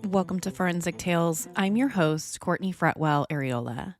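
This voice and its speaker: An American woman hosting a true crime podcast, narrating from a script in a measured, serious storytelling manner. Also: deep voice, no echo, studio quality.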